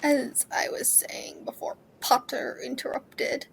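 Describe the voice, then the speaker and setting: A woman's voice: high voice, little pitch movement, slow pace, creaky, whispered, heavily acted, poor audio. A woman playing a stern, cold, sneering male wizard schoolteacher in an audio drama, speaking in a clipped, disdainful way.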